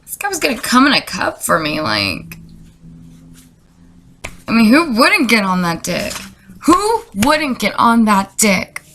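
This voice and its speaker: A woman giving deadpan comedic commentary and dramatic readings, rambling through sarcastic, incredulous asides. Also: on the high side, measured pace, long pauses, a little hiss or hum. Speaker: comedy video creator. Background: music.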